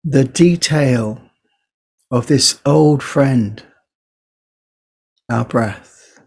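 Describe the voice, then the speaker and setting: A man leading a guided body scan meditation as a teacher, speaking gently in short phrases with unhurried pauses. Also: medium pitch, vocal fry, husky.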